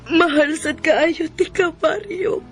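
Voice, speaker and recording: High-voiced, long-suffering Bisaya wife. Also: female voice, fairly even intonation, slow, a bit bright, faint music behind, exaggerated voice. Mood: hurt, forgiving. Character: hurt but forgiving wife and mother, gentle, long-suffering. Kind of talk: Philippine radio drama acting.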